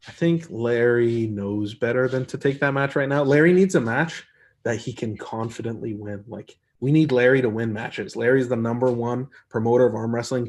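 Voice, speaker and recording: A man hosting a casual live stream, reading viewer comments and answering them in an easygoing, rambling way with a soft voice. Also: mid-range voice. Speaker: arm wrestler hosting a live chat stream.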